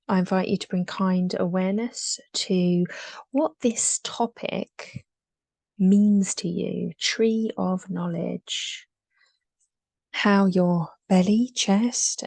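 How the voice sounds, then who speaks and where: Very slow, mellow woman guiding meditation. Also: lowish voice, creaky, no echo. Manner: soothing, unhurried, with long pauses between short phrases. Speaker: guided meditation leader.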